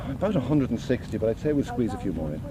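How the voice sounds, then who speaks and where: A man's voice: medium pitch, sing-song, racing, dark tone. An Irish man, the campsite organiser, explaining the site in an easygoing, matter-of-fact way during an outdoor interview. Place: outdoor campsite by a running generator. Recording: outdoor field recording with generator noise.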